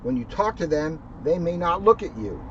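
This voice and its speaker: A man's voice: a little high, sing-song, normal speed, a bit bright. A man, a police officer, reading a children's book aloud and explaining it in simple terms. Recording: dull lo-fi sound, faint background noise.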